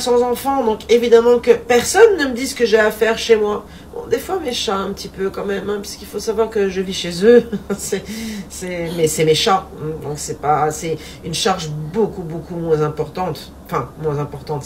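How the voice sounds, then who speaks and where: A woman's voice: medium pitch, normal speed, a little mellow, distant mic, slight background noise. A woman in her forties talking in a playful, chatty way, repeating a refrain and laughing often. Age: 40s. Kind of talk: casual monologue.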